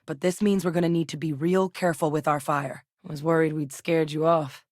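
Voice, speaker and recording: An actress voicing a composed female scientist and military officer in a video game, speaking in a measured, earnest and direct way. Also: sits low, fairly even intonation, no room sound, studio quality, lightly acted. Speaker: game character voice. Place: recording studio. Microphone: close studio mic.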